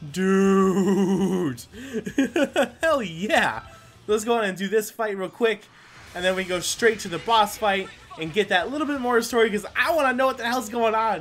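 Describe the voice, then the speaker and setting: A man's voice: high-pitched, a bit bright. A man hosting a gameplay video with casual, rambling commentary, full of exclamations and mild swearing. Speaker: gaming video host.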